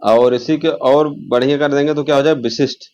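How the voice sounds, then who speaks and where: A man's voice: mid-range voice, steady tone, a little fast, a little mellow, dull audio. A man teaching an informal exam lesson, repeating key terms and posing questions as he explains.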